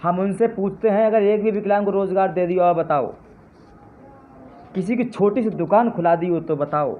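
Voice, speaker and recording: A man's voice: high voice, moderate pace. A man giving an impassioned live address to his supporters, with fervent, repetitive appeals that rise to indignant jabs. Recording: tinny phone-line sound with faint noise.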